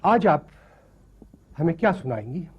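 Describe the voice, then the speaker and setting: An actor playing a pompous, easily offended colonial gentleman in a screen drama, haughty and declamatory. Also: fairly high pitch, big pitch swings, a little slow, breathy edge, dull audio, heavily acted. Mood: condescending. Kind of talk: theatrical screen drama acting.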